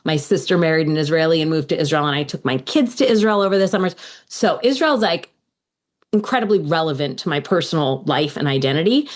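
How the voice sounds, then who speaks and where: Low-voiced woman, earnest interview guest. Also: a little fast. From United States. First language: Hebrew. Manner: earnest, reflective storytelling. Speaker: law professor guest. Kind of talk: podcast interview.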